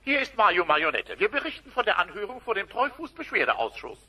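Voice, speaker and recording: An actor playing a self-important dinosaur TV reporter, parodying live news in a German audio drama with dramatic announcer delivery. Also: male voice, sits high, sing-song, fast, bright, forceful tone, husky, exaggerated voice.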